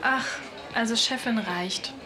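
A woman's voice: fairly high pitch, takes it slowly, music in the background. A woman playing a sassy, self-assured, teasing bar boss in a German sci-fi audio drama.

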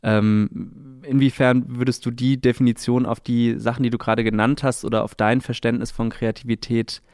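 A man with a mid-pitched voice speaks as a podcast interviewer. He phrases things in a measured, considered, conversational way. The recording is dry and close. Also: little pitch movement, a little mellow, smooth, no echo.

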